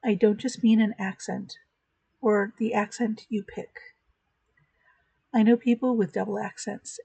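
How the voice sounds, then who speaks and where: Slow, gravelly-voiced woman host. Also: mid-range voice, no room sound, low-quality recording. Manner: calm, measured, reflective. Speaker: host of a virtual church chat. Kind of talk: slow spoken meditation.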